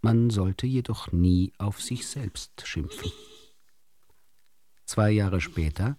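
A man narrating short literary stories for a radio drama in a dry, deliberate storytelling manner. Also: fairly low pitch, expressive, mellow, no echo.

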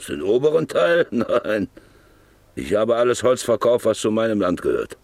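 A man's voice: on the low side, sing-song, moderate pace, a little mellow. A man acting a brooding, embittered, obsessed landowner in a German radio play, with insistent, stage-trained delivery. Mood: defiant, impatient. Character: embittered, obsessed, paralysed landowner John Beverly drilling for oil.

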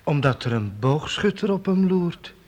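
An actor playing a young minstrel's apprentice in a radio play, deferential, eager and hesitant. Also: male voice, on the high side, big pitch swings, unhurried, darkish tone. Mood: hesitant, earnest. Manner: hesitant, respectful.

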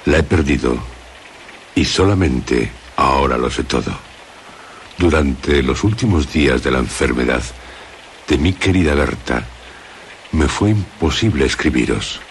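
An actor playing a sombre, anguished storyteller in a gothic radio drama, solemn and halting, gravelly. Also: male voice, rumbling low, animated, slight background noise, full character voice. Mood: sombre, bitter. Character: sombre, very deep-voiced gothic storyteller reading anguished letter.

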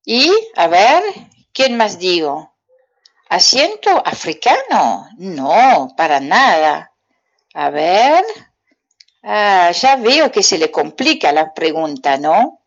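A woman's voice: on the low side, sing-song, slow, muffled recording. A woman from Belgium with a Belgian French accent, giving a playful French lesson to children on the radio and quizzing them herself. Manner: chatty, asks children riddle-like questions and answers them herself. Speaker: French teacher on community radio.

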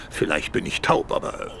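An actor playing a brisk, commanding senior police officer in a radio play. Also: male voice, pushed and bright, a little hiss or hum. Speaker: police chief.

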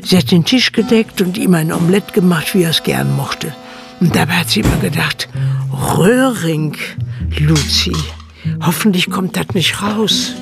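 A man's voice: high voice, moderate pace, vocal fry. A man narrating a radio drama as a plain-spoken village storyteller, in a colloquial, dry tone with music underneath. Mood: wry. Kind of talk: folksy scripted narration.

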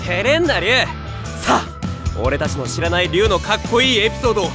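A man's voice: high voice, big pitch swings, a little fast, over music. A man playing a bombastic, fiercely loyal teenage boy in an anime drama CD. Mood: grand. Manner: bombastic, declaring. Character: small fiery teen boy, boastful and loyal.

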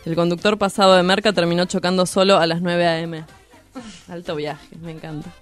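A woman's voice: fairly low pitch, flat delivery, fairly quick, soft background music. A woman with a Rioplatense Argentine accent co-hosting an informal radio show and reading out items. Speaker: radio co-host. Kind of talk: casual radio chat, reading listener messages.

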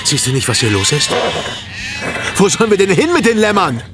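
A man's voice: high-pitched, very animated, a little fast, heavy background noise. An actor playing a furious, desperate, stubborn herdsman in a German radio play, exclaiming in agitation. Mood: enraged, desperate. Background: loud music and bleating sheep.

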